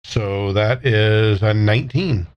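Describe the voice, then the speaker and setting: A man's voice: fairly low pitch, slow, lo-fi sound. A man talking casually as a player in a tabletop role-playing game, describing and speaking for his character. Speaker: player in an actual play podcast.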